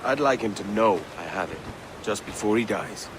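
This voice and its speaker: An actor playing a hard-bitten, defiant pirate captain, speaking bluntly and sardonically in a dramatic game scene. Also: male voice, on the low side, lively intonation, moderate pace, background noise, lightly acted. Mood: grim, resolute. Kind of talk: dramatic video game acting.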